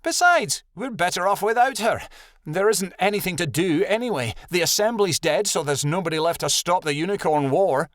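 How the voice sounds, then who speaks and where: A man's voice: on the high side, very animated, unhurried, hardly any pauses, fairly bright tone, no room sound, studio quality, voice pushed up. A man voicing a grumpy, scornful female animal in a storybook, snappish and grumbling.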